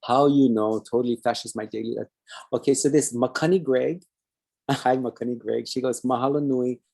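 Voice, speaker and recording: A man's voice: medium pitch, steady tone, normal speed, creaky, darkish tone. A man with a Hawaiian Pidgin accent hosting a talk show, chatting loosely and reading viewer comments aloud with Hawaiian words mixed in. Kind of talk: casual live-stream sign-off chat.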